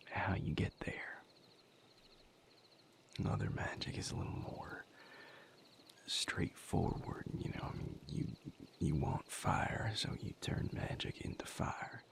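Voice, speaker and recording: Low, gravelly, whispering vampire played by a man. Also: exaggerated voice. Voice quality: breathy, gravelly near-whisper. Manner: halting, reflective, casually profane. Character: male vampire healer, gentle, wry, weary but content lover. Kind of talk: intimate audio roleplay, spoken to the listener.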